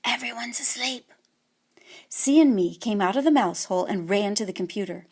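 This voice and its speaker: A woman narrating a children's audiobook in an expressive, scripted storytelling manner. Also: mid-range voice, fairly quick.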